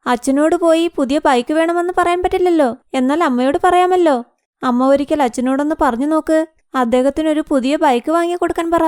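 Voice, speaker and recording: Cartoon daughter played by a woman: young, married, high-pitched, self-pitying and wheedling, dry recording. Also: fairly quick, a little mellow, no room sound, natural voice. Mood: plaintive, wheedling. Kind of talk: Malayalam cartoon moral story acting.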